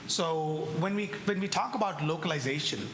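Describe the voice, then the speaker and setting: A man moderating a conference panel, halting and questioning, often restarting his sentences. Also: on the high side. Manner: halting, many fillers and restarts, posing questions. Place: large hotel ballroom. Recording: echoey ballroom with clear background noise.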